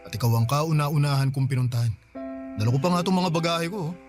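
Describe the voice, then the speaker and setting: A man's voice: fairly high pitch, fast, close to an everyday voice. A male actor in a Filipino radio drama playing a tender bachelor neighbour, earnest and wooing. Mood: eager, tender. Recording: prominent music under the dialogue.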